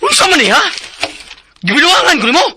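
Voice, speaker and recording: A man playing a hot-tempered customer in a radio drama who accuses and shouts at a delivery rider. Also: very high voice, sing-song, a little fast, background noise. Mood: angry.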